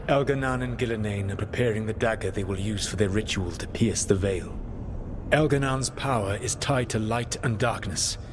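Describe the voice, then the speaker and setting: A man performs an ancient, proud elven god for a fantasy game. His voice sits low, with measured, formal phrasing and a weary, regretful weight. A noticeable ambient rumble runs behind him. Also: lightly acted. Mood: solemn. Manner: deliberate, formal, reflective. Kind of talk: dramatic video game acting.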